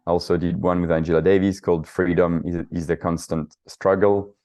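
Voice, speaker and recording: Deep-voiced Frenchman with a French accent. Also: little pitch movement, a little fast, darkish tone. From south of France. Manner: hesitant, many fillers and restarts. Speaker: guest, author and activist. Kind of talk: conversational interview answers.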